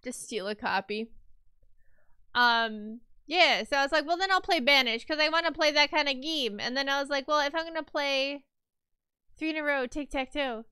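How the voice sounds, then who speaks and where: A woman's voice: sits high, moderate pace, sharp tone, no echo. A woman livestreamer chatting casually with her viewers, a little stuffy from a cold, rambling with fillers and restarts.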